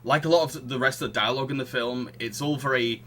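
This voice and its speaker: A man's voice: mid-range voice, fast talker, pushed and bright, a little hiss or hum. A man hosting a casual film review podcast, opinionated and mocking in manner. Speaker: podcast host.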